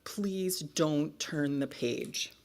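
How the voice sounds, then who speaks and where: Lady doing a bossy panicky picture book character, super dramatic, exasperated n pleading, slow heavy emphasis. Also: deep voice, darkish tone, exaggerated voice. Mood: pleading, stern. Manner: exasperated, melodramatic, emphatic pleading with drawn-out warnings. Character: frantic, bossy, pleading storybook narrator begging reader to stop. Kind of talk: dramatic children's picture-book read-aloud.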